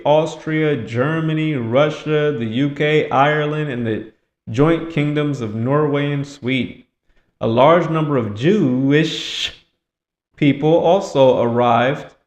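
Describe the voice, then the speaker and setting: A man with a slightly high voice speaks in a very roomy, echoing space. He delivers commentary with emphasis and a rhetorical bent, often restating phrases to drive them in. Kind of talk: political commentary mixed with reading aloud. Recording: echoey, roomy sound.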